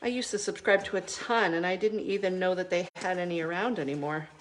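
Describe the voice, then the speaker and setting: A woman's voice: lowish voice, fairly even intonation, fairly quick, a little hiss or hum. A middle-aged woman hosting a live crafting video, chatting casually with many ums while she works, still stuffy from a cold.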